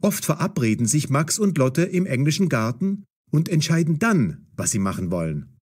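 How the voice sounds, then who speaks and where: Animated, husky male story narrator. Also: a little high, normal speed. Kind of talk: scripted story narration for language learners.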